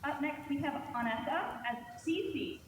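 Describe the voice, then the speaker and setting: A woman's voice: sits high, expressive, a little slow. A woman working as a contest announcer, calling out entrants at a live event in a large room. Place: large event room, played from a video clip. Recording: echoey hall from far off, loud noise.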